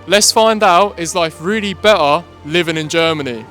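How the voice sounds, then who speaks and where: A man's voice: on the high side, normal speed, music in the background. British man interviewing on the street. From Britain. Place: outdoors on a city street.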